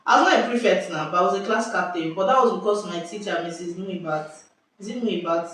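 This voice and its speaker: A young Nigerian woman telling school stories in casual chat at home, lively and exclamatory, often breaking off mid-sentence. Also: lowish voice, fast. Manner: lively, exclamatory, self-interrupting. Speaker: vlogger. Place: indoors at home. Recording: echoey room, distant mic, faint hiss.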